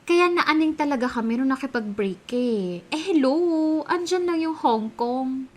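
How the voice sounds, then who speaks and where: A woman's voice: high-pitched, animated, moderate pace. A woman playing an opinionated, gossipy young friend with a Filipino accent, speaking emphatically in a radio drama. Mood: insistent. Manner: emphatic, conversational.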